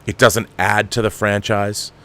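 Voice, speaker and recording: A man's voice: fairly low pitch, level tone, measured pace, fairly bright tone. A man delivering an unscripted film rant as a show host, emphatic, exasperated and profane, repeating phrases for emphasis. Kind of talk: ranting film commentary, unscripted.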